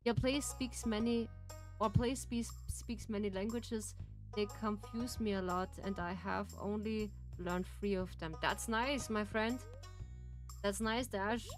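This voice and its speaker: A woman's voice: mid-range voice, steady tone, a little slow, soft background music. A woman with a German accent, chatting casually as she streams a farming game. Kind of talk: casual gameplay chat.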